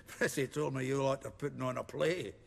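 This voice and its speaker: An actor playing a jovial, teasing bearded fellow in a video game, with a gravelly voice. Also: male voice, medium pitch, moderate pace, big-room sound, slight background noise. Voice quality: gravelly, creaky. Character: jovial, teasing, bearded male friend of the witcher. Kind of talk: video game dialogue acting.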